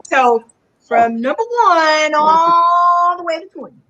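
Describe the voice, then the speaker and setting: A woman's voice: extremely high pitch, slow pace, sharp tone, highs cut off. A woman hosting a live online talk show, upbeat and affirming, often laughing as she wraps up and runs a giveaway. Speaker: host.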